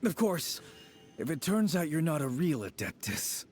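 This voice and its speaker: Sly little crook, lively singsong delivery, bit high, game music behind. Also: male voice, normal speed. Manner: sly, chuckling, wheedling. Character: shifty male treasure hoarder thug, sly and cowardly. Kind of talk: video game voice acting. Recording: game soundtrack under the voice.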